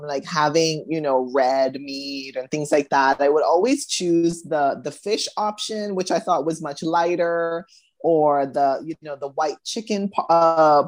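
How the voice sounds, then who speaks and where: A middle-aged woman from the Bahamas, a guest talking thoughtfully in a casual interview, with many pauses and restarts. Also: deep voice, darkish tone.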